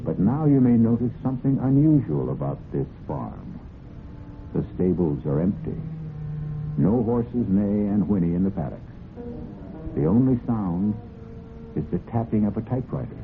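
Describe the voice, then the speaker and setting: A man hosting a radio mystery anthology, narrating a scripted story in a deliberate, theatrical old-radio storyteller's manner. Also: lowish voice, sing-song, gravelly, noisy background. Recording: narrow old radio recording with music.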